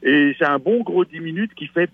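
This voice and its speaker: A man's voice: on the high side, animated, normal speed, husky, no room sound. A man, a stage actor, chatting enthusiastically as a guest in a radio interview. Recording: dull lo-fi radio sound.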